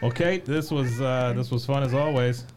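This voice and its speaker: A man's voice: medium pitch, moderate pace, darkish tone, a little hiss or hum. A man co-hosting a podcast, chatting casually and joking, sometimes trailing off into a mumble. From born in Taiwan, raised in Texas. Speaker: podcast co-host. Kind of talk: casual chat.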